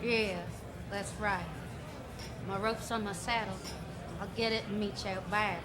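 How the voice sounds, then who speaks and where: A woman's voice: mid-range voice, steady tone, unhurried, heavy background noise, close to an everyday voice. A woman playing a jeering, mean male cowboy henchman in a western radio drama, with a curt rustic drawl.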